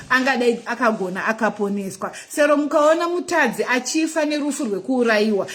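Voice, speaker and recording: Woman, Zimbabwean accent, native Shona speaker, vlogger, earnest and measured, lots of um and uh, somewhat high pitch. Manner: earnest, measured, repetitive phrasing with frequent fillers. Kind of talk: casual direct-to-camera talk turning to preaching.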